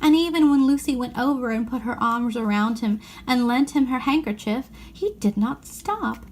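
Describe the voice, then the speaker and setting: A woman narrates a children's storybook. Her voice is on the high side, and she reads the scripted prose expressively. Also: lively intonation, normal speed, darkish tone, a little hiss or hum. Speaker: storybook reader.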